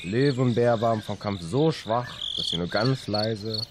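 A man reading a scripted fable as a radio narrator, with clear noise behind him. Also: on the low side, unhurried. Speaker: radio host and story narrator.

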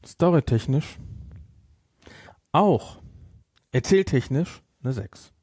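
A man's voice: medium pitch, lively intonation, takes it slowly, dark tone, breathy edge, no echo, clean studio sound. A man hosting a casual podcast discussion. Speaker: podcast host.

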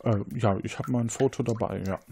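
A man's voice: medium pitch, a little fast, mellow, slight background noise. A man hosting an interactive crime game, narrating scenes as game master in a partly improvised, playful way.

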